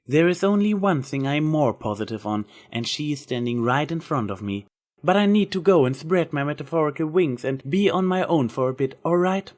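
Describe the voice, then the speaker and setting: Man playing a proud magician unicorn mare, raised pitch. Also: lively intonation, fairly quick, darkish tone, long reverb tail, close to an everyday voice. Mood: reassuring. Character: proud young female unicorn stage magician, gentle yet theatrical. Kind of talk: amateur fan fiction reading.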